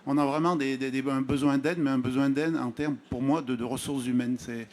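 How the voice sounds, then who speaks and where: A middle-aged man takes the floor at a conference as a local mayor. His pitch is medium. He talks spontaneously, often pausing and doubling words while he looks for the right one. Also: fairly even intonation, a little mellow. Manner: hesitant, repeating words as he searches. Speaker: small-town mayor. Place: conference room.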